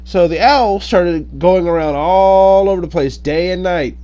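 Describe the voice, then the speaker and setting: A man telling folk tales informally in a halting, hesitant way, often pausing and correcting himself. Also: high-pitched, warm tone. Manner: halting, hesitant delivery with frequent pauses and self-corrections. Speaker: online storyteller.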